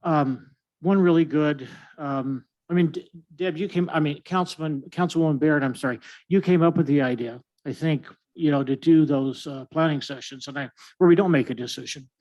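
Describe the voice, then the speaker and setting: A man's voice: fairly high pitch, a little fast, a little mellow, low-quality recording. A man serving on a city council, speaking candidly and a little rambling during discussion.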